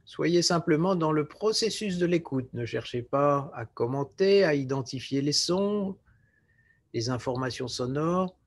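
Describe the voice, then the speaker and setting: A man guiding a relaxation meditation as a yoga nidra teacher, speaking in a calm, measured way with short phrases and pauses. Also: fairly high pitch, breathy edge. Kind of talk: guided relaxation meditation instruction.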